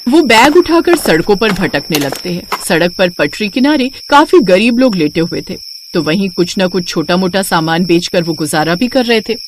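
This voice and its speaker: A woman's voice: medium pitch, big pitch swings, moderate pace, noisy background. A woman reading a scripted moral story as its narrator.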